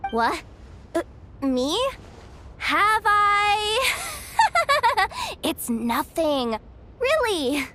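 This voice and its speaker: Casting: jittery young female game guide, very high voice, swooping delivery, boastful but soft-hearted. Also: animated, takes it slowly, a little hiss or hum, full character voice. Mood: anxious, despairing. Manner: flustered, boastful, stammering. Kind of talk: animated game voice acting.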